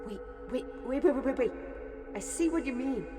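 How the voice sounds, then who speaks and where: Woman as a high-voiced, determined fantasy heroine. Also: lively intonation, normal speed, big-room sound, music in the background. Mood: urgent, thoughtful. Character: determined, wary heroine with a staff. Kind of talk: fantasy audio roleplay acting.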